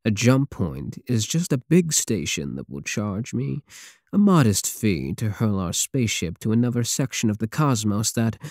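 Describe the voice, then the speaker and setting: A man's voice: lowish voice, a little mellow, dry recording, clean studio sound. A man playing a big, teasing, possessive space pirate king in an intimate, sardonic ASMR roleplay. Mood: amused, seductive. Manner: slow, teasing, purring endearments, sardonic.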